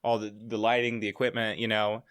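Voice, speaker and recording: A man's voice: fairly low pitch, monotone, fairly quick, fairly bright tone, smooth, no room sound. A young American man with a nasal voice, a mechanic guest telling stories casually on a podcast. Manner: easygoing storyteller.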